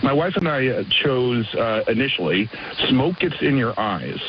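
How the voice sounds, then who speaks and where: A man's voice: medium pitch, very animated, normal speed, lo-fi sound, noisy background. Hesitant male caller from San Diego. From San Diego, California. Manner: rambling, hesitant, chuckling.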